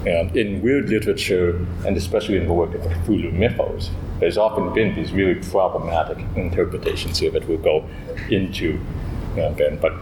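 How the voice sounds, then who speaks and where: A man's voice: sits low, fairly even intonation, brisk. A man speaking on a panel, rambling and hesitant with many fillers. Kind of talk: reflective panel talk. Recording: roomy echo with background noise.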